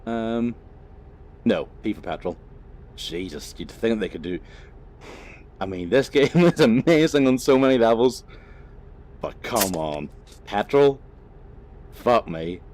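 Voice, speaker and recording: A man's voice: medium pitch, lively intonation, takes it slowly, pauses often, darkish tone, slight background noise. A young Northern Irish man chatting casually over his gameplay, rambling, swearing freely and laughing at himself. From Northern Ireland. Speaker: gaming channel host.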